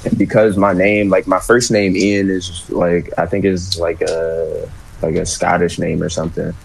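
A young man from Washington, D.C., speaking as a guest on a radio call-in, relaxed and full of filler words. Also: sits low, moderate pace, creaky, breathy edge, low-quality recording. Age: young adult. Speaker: phone-in guest. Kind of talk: casual phone conversation.

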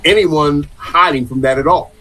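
A male panel guest with a bright, forceful voice pitched a little high. He speaks in a measured, reassuring way and stumbles on the first words of each point. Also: rough recording. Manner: measured, reassuring, stutters at starts. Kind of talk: call-in radio panel talk.

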